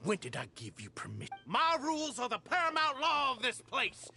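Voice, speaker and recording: An actor playing a cruel tyrannical villain in a video game, ranting and commanding theatrically. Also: male voice, very high-pitched, huge pitch swings, moderate pace, bright, forceful tone, faint music behind, exaggerated voice. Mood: enraged, shouting. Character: cruel tyrannical male villain ruling by pain.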